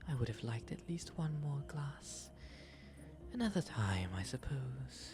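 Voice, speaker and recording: A man voicing a refined, gentle owl demon gentleman in a soft, breathy ASMR roleplay, speaking with courteous, eloquent phrasing. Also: medium pitch, very animated. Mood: warm, shy. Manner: genteel, eloquent, unhurried phrasing. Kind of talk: ASMR roleplay, intimate character acting.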